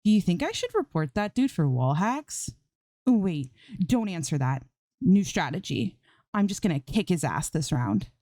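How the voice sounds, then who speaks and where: A woman voicing an excitable, indignant young female game streamer in a story reading, with slangy, exclamatory protests. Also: fairly low pitch, measured pace, dry recording, clean studio sound, voice pushed up. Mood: cocky grumbling. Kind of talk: acted dialogue in fan fiction reading.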